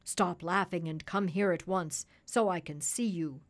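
A woman's voice: lowish voice, a little slow, natural voice. A woman voicing a stern, imperious African king in a storybook, speaking in a commanding, declamatory way. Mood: angry, startled.

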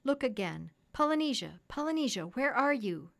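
A woman voicing a kindly gentleman doctor from a storybook, mild and given to exclamations. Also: mid-range voice, expressive, a little slow. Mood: concerned. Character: kindly gentleman doctor who cares for animals.

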